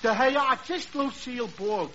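An actor playing a slow-witted, simple bar regular with a dopey, halting delivery in a 1940s radio comedy. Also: male voice, extremely high pitch, a bit bright. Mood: dim, wondering. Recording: dull, hissy 1940s radio recording.

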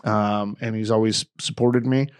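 A man's voice: on the low side, level tone, unhurried, clean tone. A young man co-hosting a podcast, talking emotionally and rambling, swearing constantly and restarting his sentences. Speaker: podcast co-host. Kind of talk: casual podcast chat.

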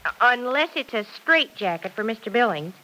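Wholesome young ingenue for 1940s radio drama: high-pitched, bright, earnest, folksy exclamations. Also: female voice, sing-song, fairly quick, slight background noise, poor audio. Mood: cheerful. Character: sweet, earnest, wholesome girlish young woman.

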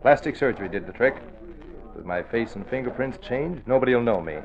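Actor as a smug gang boss, muffled sound. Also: male voice, medium pitch, lively intonation, a little fast, lightly acted. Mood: self-satisfied. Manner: sly, self-satisfied. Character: smug, cunning male gang boss in hiding. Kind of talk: old-time radio crime drama acting. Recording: muffled radio recording, crowd noise behind.

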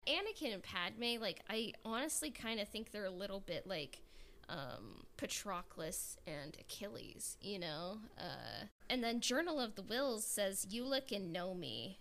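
A woman's voice: medium pitch, expressive, measured pace, vocal fry, a bit bright. A woman hosting a casual podcast, chatting informally and reading out listener comments. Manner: informal, conversational. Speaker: podcast host.

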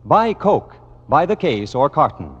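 Animated male announcer on vintage radio. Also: fairly high pitch, measured pace, very noisy. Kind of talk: scripted vintage radio sponsor read and music introduction. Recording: dull vintage radio, long hall reverb.

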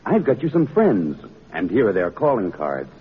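Confident, kindly businessman, male, vintage radio, animated husky delivery, thin phone-like old recording. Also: lowish voice, normal speed, exaggerated voice. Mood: confident, reassuring. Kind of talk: vintage radio drama acting.

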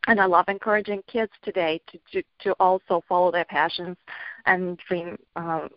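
A middle-aged woman from Bulgaria, a children's author being interviewed, talking in a hesitant way with many ums and soft laughs. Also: on the low side, fairly even intonation, moderate pace, no room sound. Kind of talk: casual interview talk. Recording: dull lo-fi audio.

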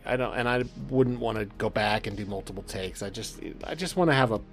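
A man's voice: fairly low pitch, animated, fairly quick. A man hosting a podcast in casual, informal conversation, sometimes reading text aloud. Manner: informal, swearing, often laughing. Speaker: podcast host. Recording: background music under speech. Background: music.

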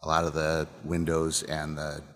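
A man from Illinois, a distillery co-owner, answers questions in a halting way with many ums, trailing off softly. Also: extremely low pitch, completely flat delivery, big-room sound. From Illinois, USA. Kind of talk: casual interview answers. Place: distillery building interior.

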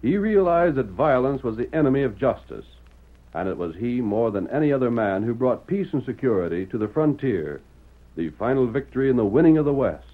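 An actor playing a grandiose, stirring announcer, delivering emphatic dramatic narration for an old-time radio western adventure. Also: male voice, mid-range voice, very animated, brisk. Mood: stirring, grand. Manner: emphatic, theatrical, declamatory. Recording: tinny, narrow-band vintage radio recording.

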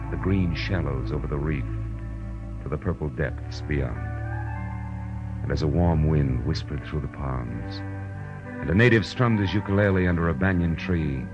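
An actor playing a world-weary, wry male private detective in a 1940s radio drama, speaking laconically and narrating his case. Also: rumbling low. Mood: wistful, reflective. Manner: laconic, wry, tender with women. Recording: muffled vintage radio recording with music.

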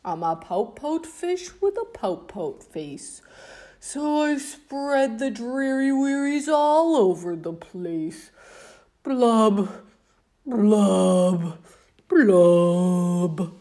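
A woman voicing a gloomy male fish in a storybook, chanting his mopey refrain and booming out loud blubs. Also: expressive, extremely slow. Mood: glum, sing-song.

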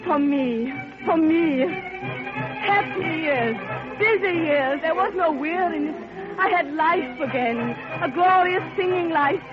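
Lovesick older widow monologue, old radio play, really slow and dramatic, music swelling under a dull recording. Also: female voice, extremely high pitch, very animated, crowd noise, exaggerated voice. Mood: exultant. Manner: impassioned, theatrical, halting repetitions. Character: lonely, lovestruck older wealthy Russian widow, passionately yearning.

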